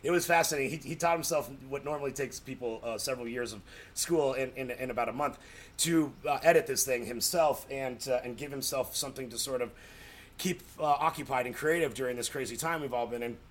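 A man's voice: medium pitch, fairly quick. An American man talking casually and effusively as a podcast guest, telling stories in a rambling way, repeating words for emphasis and acting out quoted reactions. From Colorado, United States. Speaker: podcast guest, comedy writer. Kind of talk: casual, animated storytelling.

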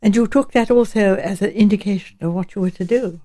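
Audiobook part: interrogator voiced by a woman, attentive and probing, economical delivery, soft-edged tone. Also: medium pitch, brisk, lightly acted. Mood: inquiring. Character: probing professional listener questioning an old lady.

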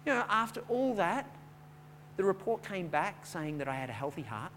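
A man preaching a sermon in a conversational, storytelling way, repeating words as he goes and building from quiet chat to louder preaching. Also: high voice, big pitch swings, normal speed, a little hiss or hum. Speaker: pastor.

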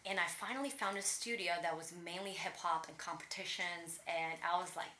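A woman from Latvia whose first language is Russian shares her story with a guest's casual energy. Her voice is low but bright. She laughs often, and the room gives her voice a noticeable echo. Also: fairly even intonation, a little fast, a little hiss or hum. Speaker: guest, personal trainer.

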